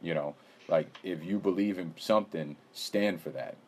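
Very deep-voiced male podcast host. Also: moderate pace. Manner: rambling, hedging with fillers and frequent laughs. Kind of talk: casual conversational interview.

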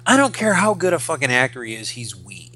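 A man in his forties with a bright, forceful voice at a middling pitch. He talks as a casual podcast co-host, opinionated and emphatic, doubling back on phrases for stress and dropping swear words freely. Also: big pitch swings, fairly quick, slightly rough. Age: 40s. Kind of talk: casual opinionated pop culture discussion.

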